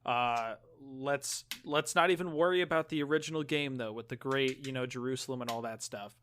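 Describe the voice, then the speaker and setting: A man's voice: medium pitch, fairly quick, a bit bright, a little hiss or hum. A man co-hosting a podcast, telling stories in an informal, sweary way. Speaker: podcast co-host. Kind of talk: casual chat, storytelling.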